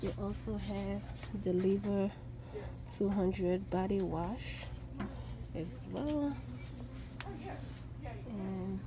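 A woman's voice: medium pitch, drawn-out words, pauses often. A woman casually walking through a store aisle, reading product labels aloud with fillers, filmed on a handheld phone. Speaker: vlogger. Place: large discount store aisle, indoors. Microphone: handheld phone camera. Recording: phone video with loud store noise.

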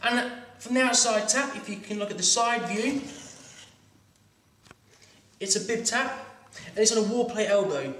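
A man's voice: high-pitched, animated, a little slow, long pauses, a bit bright, far from the mic. A man in his thirties giving a hands-on plumbing demonstration in a training workshop, explaining step by step and often restarting his sentences. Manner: practical, instructive, stumbling restarts. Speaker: plumbing instructor. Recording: echoey room, voice a bit off-mic.

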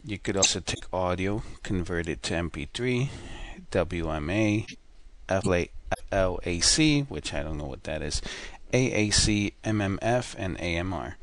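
A man hosting a casual software tutorial over a screen recording, talking in a relaxed, rambling way with fillers and self-corrections. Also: low voice, a little slow, dry recording.

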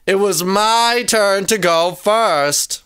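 A woman voicing an excitable, fussy sister from a children's storybook, whiny and insistent in manner. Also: mid-range voice, slow pace, clean tone, voice pushed up. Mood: indignant.

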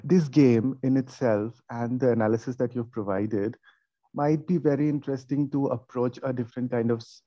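Indian guy on a muffled online call, asking academic stuff, kinda halting, soft mellow tone. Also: medium pitch, fairly even intonation, no room sound. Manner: halting, thinking aloud. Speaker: conference panel audience questioner. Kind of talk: academic question and comment. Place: online video conference.

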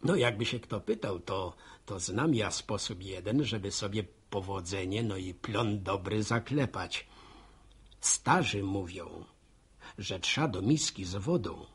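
Jovial postman for radio drama: husky, slow, animated, chatty. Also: fairly low pitch, exaggerated voice. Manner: chatty, folksy, chuckling storyteller. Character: jovial, folksy village postman giving New Year wishes.

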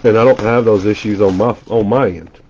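A man's voice: lowish voice, fairly even intonation, a little mellow, dull audio, a little hiss or hum. Stuffed-up man rattling off names. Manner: rattles off player names rapidly, chatty asides. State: congested. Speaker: trading card breaker. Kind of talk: casual live-stream commentary while opening packs. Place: indoor room at a table.

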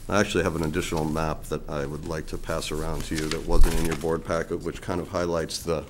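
A man in his sixties with a big, loud voice presenting a land request to a town board at a table microphone. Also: extremely low pitch, little pitch movement, fast talker, noisy background. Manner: loud, plain-spoken presentation. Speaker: federal forest service representative presenting to a board. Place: town meeting room, at a table.

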